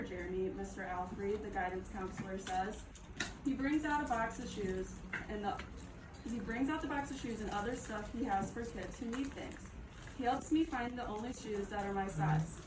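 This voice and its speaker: A woman teacher reading a picture book aloud to children, pausing to prompt and affirm her listeners. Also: mid-range voice. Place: echoey room with children. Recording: roomy, distant mic, background noise.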